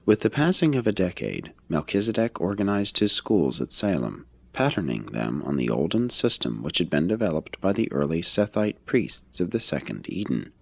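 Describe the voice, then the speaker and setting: Guy reading scripture type book out loud, formal and measured, real deep voice, dry close sound. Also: big pitch swings, vocal fry, breathy edge, dry recording. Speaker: audiobook reader. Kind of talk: scripted narration, reading a religious text aloud.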